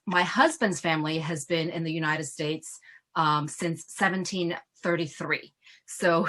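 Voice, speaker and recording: A middle-aged woman from Ghana, an immigration attorney answering questions in an earnest, thoughtful way with frequent pauses. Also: lowish voice, bright, forceful tone. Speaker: immigration attorney, guest speaker. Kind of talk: Q&A conversation.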